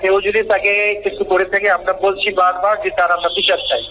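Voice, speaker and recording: A man's voice: sits high, flat delivery, quick pace, bright, forceful tone, recorded from a distance. A man with a Bangladeshi accent answering interview questions as a head teacher, insistent, repetitive and defensive. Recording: muffled phone call, faint noise.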